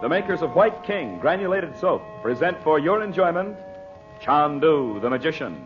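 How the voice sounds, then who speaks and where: A man's voice: fairly high pitch, big pitch swings, moderate pace. A man working as announcer and narrator of a 1940s radio serial, reading scripted copy in period style. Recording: dull old radio transcription with music.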